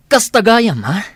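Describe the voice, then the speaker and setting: A man's voice: high-pitched, a bit bright, slightly rough, no echo, exaggerated voice. A man voicing a boastful, spoiled rich young man who laughs a lot, in an Ilocano radio drama. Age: young adult. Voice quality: cocky, laughs often. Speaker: college-age son.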